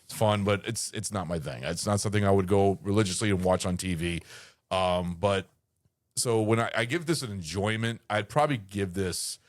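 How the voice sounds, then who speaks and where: A man's voice: low voice, moderate pace, no echo, clean studio sound. A man in his thirties chatting casually on a podcast about a movie, stumbling and repeating words as he talks. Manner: hesitant, repeats words often. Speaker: podcast co-host. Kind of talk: casual movie review chat.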